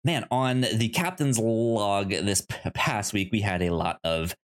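A man hosting a podcast in a casual, chatty style, sometimes halting and repeating words as he searches for phrasing. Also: fairly low pitch. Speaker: podcast host.

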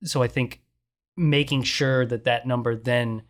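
A man with an ordinary middle-pitched voice talks casually as a guest. He thinks aloud, leaning on fillers and repeating words as he works toward his point. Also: steady tone, measured pace. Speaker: startup co-founder, guest. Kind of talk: casual conversation.

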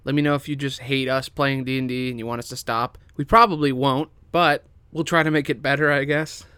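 A man's voice: mid-range voice, fairly even intonation, brisk, sharp tone, clean tone, no echo. Male podcast host, informal, casual talk addressed to listeners.

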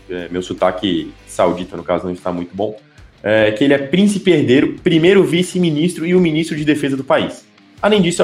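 Hesitant man in an echoey room. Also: medium pitch. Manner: hesitant, frequent filler sounds and restarts. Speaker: podcast co-host. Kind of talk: casual chat.

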